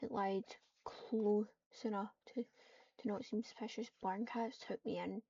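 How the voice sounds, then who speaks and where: A woman narrating an online roleplay game in halting, storybook-style phrases, switching between description and character dialogue. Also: medium pitch, fairly even intonation, creaky, warm tone, breathy edge. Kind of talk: live roleplay narration mixed with acted dialogue. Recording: muffled lo-fi mic, distant.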